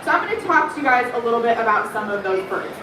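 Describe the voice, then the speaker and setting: A woman's voice: on the high side, sing-song, fast talker. Woman doing a bird talk to a crowd, lots of ums, echoey room, noisy background. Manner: chatty, asks the crowd questions, many ums; says she has a loud voice. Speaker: bird conservation educator. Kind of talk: public talk to a live audience. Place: room at an aquarium. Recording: echoey room, far from the mic, background noise.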